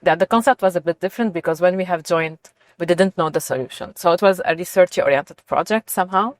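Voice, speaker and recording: A woman's voice: on the low side, fast talker, no room sound. A middle-aged woman from Lebanon giving conversational, enthusiastic answers as an interview guest on research work.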